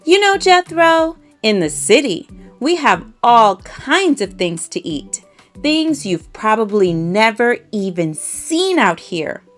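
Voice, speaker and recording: A woman's voice: on the high side, sing-song, slow pace, soft background music. A woman voicing a bubbly, boastful female city mouse cousin in a children's storybook, gushing and exclamatory.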